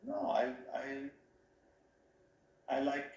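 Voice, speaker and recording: A man from Austria speaks as an interview guest at a middle pitch, with plenty of room echo around his voice. He talks haltingly and keeps restarting his phrases as he feels his way toward each point. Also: completely flat delivery, creaky, darkish tone, muffled recording. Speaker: interview guest, company head. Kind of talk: casual interview talk. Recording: roomy echo.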